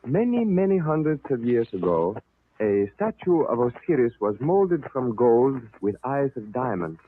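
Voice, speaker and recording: A man playing a suave, menacing Egyptian high priest in a vintage radio serial, speaking formally and deliberately with a put-on foreign accent. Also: sing-song, a little hiss or hum. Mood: suave, explanatory. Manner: formal, courteous, deliberate, theatrical. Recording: tinny, band-limited old radio recording.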